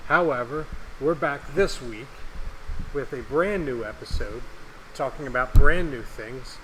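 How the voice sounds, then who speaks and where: A man co-hosting a casual podcast, slightly raspy from a recent cough, rambling and joking. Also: medium pitch, unhurried, noisy background. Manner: rambling, jokey, swears casually. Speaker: podcast co-host and producer.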